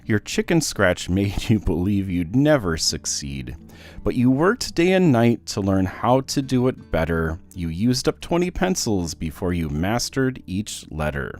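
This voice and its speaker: A man's voice: fairly low pitch, a little slow, soft background music. A man voicing a patient, encouraging father who reassures and teaches his young son in a children's storybook. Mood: reassuring. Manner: reassuring, instructive, animated.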